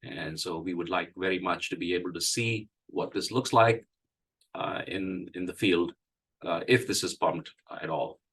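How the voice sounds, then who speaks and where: A man with a cold, hoarse, giving a halting technical presentation with many fillers. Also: deep voice, a bit bright. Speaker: engineering presenter. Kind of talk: technical presentation at an online meeting.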